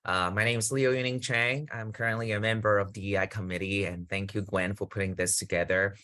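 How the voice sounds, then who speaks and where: A man from Taiwan giving an earnest welcome and land acknowledgement over a video call, gently inviting others to share. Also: fairly low pitch, fairly even intonation, a little fast. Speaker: committee member.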